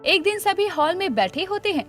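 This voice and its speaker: A woman reads scripted story narration. Her voice is high and swings animatedly in pitch, carrying the tale along over quiet background music. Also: sing-song, normal speed.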